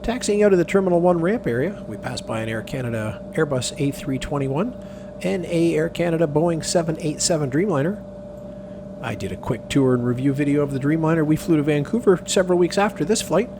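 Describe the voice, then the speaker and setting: A man with a slightly high voice narrates a travel vlog as its host. His delivery is casual but scripted, and a clear hum of aircraft noise runs behind him. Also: animated, a little fast. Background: aircraft and vehicle hum.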